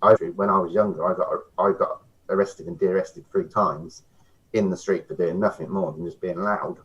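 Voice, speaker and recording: Low-voiced man, halting. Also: steady tone. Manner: halting, repeats words when starting. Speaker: guest. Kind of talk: casual chat. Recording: dull lo-fi sound.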